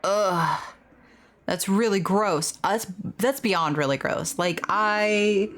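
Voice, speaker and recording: A woman's voice: mid-range voice, slow, a bit bright. A woman hosting a video game let's play, talking casually in a candid, slangy, informal way. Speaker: gaming video host. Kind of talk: casual let's play commentary with reading aloud of game dialogue. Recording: noticeable background noise.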